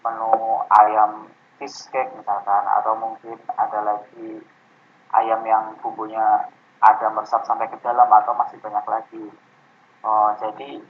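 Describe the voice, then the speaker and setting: A man student speaks up politely in an online class, pausing on fillers as he builds his point. His voice has a middling pitch. It comes through a thin, phone-like call line. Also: a little hiss or hum. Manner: polite, hesitant, many fillers.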